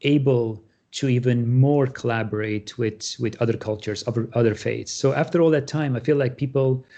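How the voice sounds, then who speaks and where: A middle-aged man, a guest artist explaining his work on a panel, halting with many fillers and restarts. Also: medium pitch, dark tone. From Syria. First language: Armenian. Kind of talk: panel talk about his artwork.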